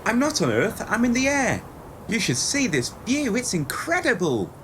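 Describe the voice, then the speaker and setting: A woman playing a cheeky, headstrong boy adventurer in an audio pantomime, brash and excitable. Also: a little high, normal speed, background noise. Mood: excited.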